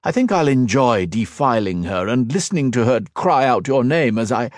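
A man's voice: medium pitch, lively intonation, moderate pace, no echo, studio quality, pitched above the performer's own voice, close to an everyday voice. A man putting on a gloating cruel emperor. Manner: mocking, taunting, grandiose. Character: smug cruel male emperor villain, gloating.

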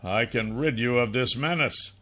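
A man's voice: mid-range voice, moderate pace, fairly bright tone, faint music behind, voice pushed up, lightly acted. A man voicing a clawed dragon hero, firm, protective and questioning, in an audiobook. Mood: resolute.

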